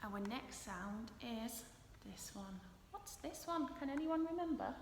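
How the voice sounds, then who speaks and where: A woman teacher reading a storybook and teaching phonics to young children, deliberate and instructional, often questioning. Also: on the high side, expressive, darkish tone. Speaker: early years teacher. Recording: echoey hall-like room with noise.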